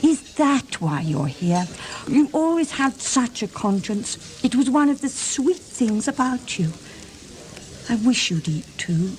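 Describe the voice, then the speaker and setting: An actress playing a needy, manipulative, volatile wife in a radio drama, theatrical, shifting from wheedling to barbed. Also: female voice, on the high side, big pitch swings, slow, darkish tone. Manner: theatrical, wheedling, turning from breathy coaxing to barbed.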